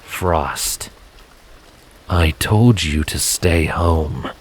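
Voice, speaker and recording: A man voicing a cold, threatening male pony assassin leader in a story reading, speaking slowly with a low warning tone. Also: breathy edge, full character voice. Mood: stern warning. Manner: slow, low, warning delivery.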